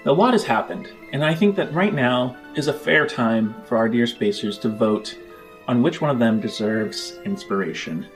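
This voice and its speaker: A man's voice: medium pitch, moderate pace, faint music behind. A man hosting a tabletop role-playing show as its game master.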